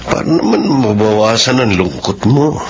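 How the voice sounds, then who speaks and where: A man's voice: mid-range voice, sing-song, normal speed, gravelly, noisy background. A man playing a kindly, solemn middle-aged uncle with a Filipino accent in a radio drama. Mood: consoling. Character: kindly, solemn uncle consoling his bereaved niece. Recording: dull lo-fi audio.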